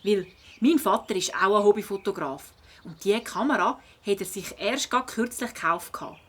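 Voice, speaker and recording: A woman playing a spirited, curious schoolgirl detective in a children's audio play, lively and inquisitive, with a Swiss German accent. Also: mid-range voice, moderate pace, slightly rough, close to an everyday voice. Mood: surprised, keen.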